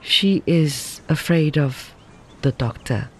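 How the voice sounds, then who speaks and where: A reader voicing a formal, grieving husband in a low, subdued way. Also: animated, takes it slowly, a little hiss or hum. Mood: crushed.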